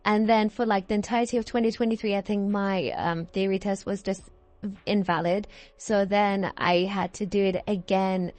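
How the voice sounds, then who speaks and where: A woman's voice: mid-range voice, steady tone, normal speed, a little mellow, no echo. A British woman in her early twenties chatting casually in a rambling, self-correcting way, as a video creator talking to viewers. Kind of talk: casual rambling chat over gameplay.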